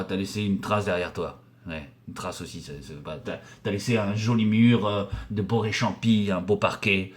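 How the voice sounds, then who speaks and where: A man in his forties from the Paris region, a painter and decorator answering viewer questions in a casual, joking, crude and rambling way, with many fillers and restarts. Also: lowish voice. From Paris region, France. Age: 40s. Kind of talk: casual vulgar chat.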